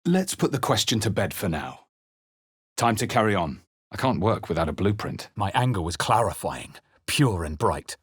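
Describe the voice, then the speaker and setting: Brooding fantasy game hero: very low register, grave and measured, dramatic delivery, close dry sound. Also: male voice, very animated, husky, no echo. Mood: low, matter-of-fact. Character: brooding male fantasy adventurer hero, adult, narrating and spellcasting. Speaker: player-character voice actor. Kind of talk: dramatic video game voice lines.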